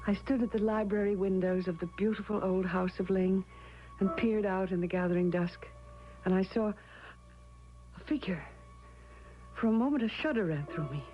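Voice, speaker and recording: An actress playing a refined, excitable wife telling a ghostly tale in a radio drama. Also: female voice, mid-range voice, normal speed, long pauses, faint music behind, natural voice. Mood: hushed suspense. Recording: dull radio broadcast sound.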